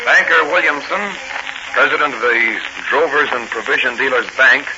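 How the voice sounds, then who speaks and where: A man's voice: mid-range voice, moderate pace, close to an everyday voice. An American actor playing a confident, earnest policeman hero in a 1940s radio drama. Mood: earnest, determined. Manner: earnest, resolute. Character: brisk, confident policeman and masked crime-fighter hero. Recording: muffled, noisy 1940 radio recording.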